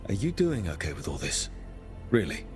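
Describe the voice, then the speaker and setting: An actor playing a supportive, level-headed adventuring leader in a fantasy video game, sincere and understated. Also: male voice, on the low side, wildly swooping pitch, normal speed, a little mellow, faint music behind. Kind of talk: video game dialogue acting.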